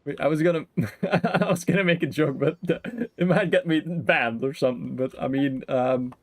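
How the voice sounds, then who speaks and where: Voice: medium pitch, normal speed, dry recording. A game streamer giving casual live commentary, full of joking, slangy asides. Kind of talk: casual livestream gameplay commentary.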